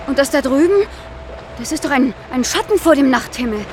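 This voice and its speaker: An anxious stranded woman, with a high, very animated voice. She is urgent and insistent in a questioning radio crime drama delivery, with clearly noisy ambience around her. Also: a little fast. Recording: noisy outdoor ambience behind voice.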